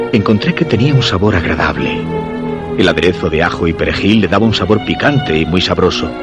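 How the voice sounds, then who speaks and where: An actor with a Castilian Spanish accent playing a weak, fearful husband who narrates a suspense radio drama in a measured, solemn way. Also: male voice, deep voice. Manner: measured, literary, solemn storytelling. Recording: voice over background music.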